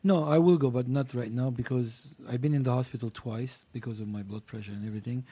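Blunt husky man. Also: mid-range voice, fairly even intonation, fast, mellow. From Lebanon. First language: Armenian. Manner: blunt and provocative, swears freely. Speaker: guest. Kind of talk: casual panel chat.

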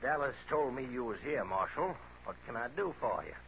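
An actor playing a domineering, snappish rancher in a radio Western, with a rural American accent. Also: male voice, medium pitch, animated, brisk, natural voice. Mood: defiant, wary. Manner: snappish, defiant. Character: domineering, mean young rancher bullying his mother. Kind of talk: 1950s radio Western acting. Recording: muffled, noisy 1950s radio recording.

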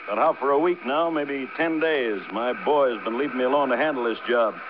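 A man's voice: medium pitch, fairly quick, lightly acted. An American man acting a talkative, tough construction crew boss in a vintage radio drama, with slangy, clipped, breezy tough talk. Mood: confiding. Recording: muffled, noisy vintage radio recording.

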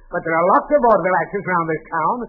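A man's voice: high-pitched, expressive, rapid-fire, a little hiss or hum. A man playing a blustery, demanding, exasperated boss with a pompous barking manner in 1940s radio comedy style. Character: blustery, demanding male boss, exasperated with his bumbling employee. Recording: tinny band-limited 1940s radio recording.

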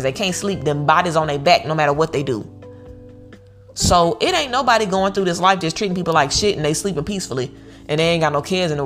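Low-pitched woman giving an emphatic pep talk. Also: a bit bright. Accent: American. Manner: emphatic, blunt, repeats phrases for emphasis. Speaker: online community host giving self-worth advice. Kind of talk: informal motivational pep talk.

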